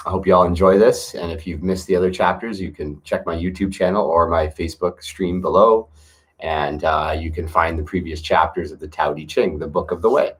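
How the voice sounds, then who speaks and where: A man with a fairly low voice reads slow, solemn verses aloud. He speaks in a measured, earnest way and leans into key words for emphasis. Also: fairly even intonation. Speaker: reader of a classic text. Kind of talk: solemn reading aloud with asides.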